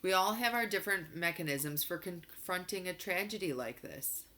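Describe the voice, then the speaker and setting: A woman's voice: on the low side, expressive, normal speed, pushed and bright. A woman voicing a stern but patient mother in a storybook, firm and admonishing. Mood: patient, explaining.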